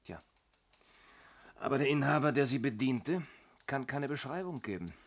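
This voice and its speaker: A man's voice: mid-range voice, expressive, moderate pace. An actor playing a shrewd detective reporting to his inspector in a laconic, knowing way, in a 1970s radio play. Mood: wry, confident.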